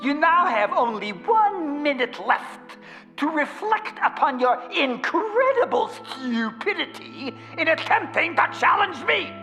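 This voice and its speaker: A gloating, theatrical mad bomber from a video game. His voice is very high and slow, echoing in a big hall with music underneath. He savors every boastful taunt. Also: sing-song, bright, forceful tone, exaggerated voice. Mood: mocking, triumphant. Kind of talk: video game villain acting.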